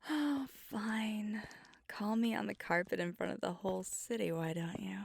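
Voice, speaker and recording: A woman playing a flirty, teasing, affectionate vampire on a date in an intimate ASMR roleplay, speaking softly and often dropping to a whisper. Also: medium pitch, fairly even intonation, vocal fry, no echo. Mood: playfully indignant. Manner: playful, teasing, slow and intimate. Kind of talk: ASMR roleplay, intimate one-sided dialogue.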